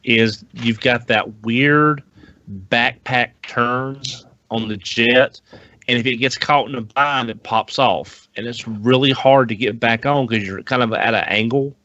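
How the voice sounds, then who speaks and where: A man talking casually as a podcast panelist, halting and often restarting or repeating his words. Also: mid-range voice, pushed and bright, no room sound. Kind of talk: casual chat. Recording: slightly dull, lo-fi sound.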